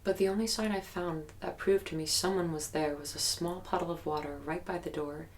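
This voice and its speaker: An actress playing an earnest young woman recounting frightening memories in a halting, guarded, matter-of-fact interview style for an audio drama. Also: fairly low pitch, fairly even intonation, slight background noise, close to an everyday voice. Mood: haunted, insistent. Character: haunted, earnest young woman recalling childhood terror.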